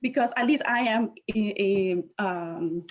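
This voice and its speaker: A woman in her thirties from Puerto Rico, a native Spanish speaker with a Puerto Rican accent, giving a conversational talk as a guest speaker, often pausing on filler phrases. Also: mid-range voice. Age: 30s. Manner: conversational, leans on filler phrases, self-correcting. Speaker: guest speaker, chemist and inventor. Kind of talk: virtual presentation talk. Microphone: video call. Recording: dull lo-fi call audio.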